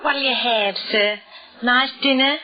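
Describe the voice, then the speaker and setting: A woman's voice: a little high, measured pace, natural voice. A woman playing an eager, obliging diner waitress in 1940s radio style. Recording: muffled old-time radio recording.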